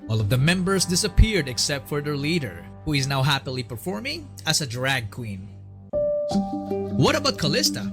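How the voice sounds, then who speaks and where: Male narrator, lively pitch, music behind. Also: normal speed. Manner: storytelling, building suspense with rhetorical questions. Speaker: documentary narrator. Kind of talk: scripted video narration.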